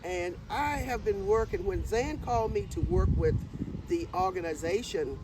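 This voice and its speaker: A woman's voice: medium pitch, lively intonation, moderate pace, background noise. An older woman giving a conversational account of her own work as an interview guest, recorded outdoors. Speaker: interview guest and event organizer. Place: outdoors on an open lot.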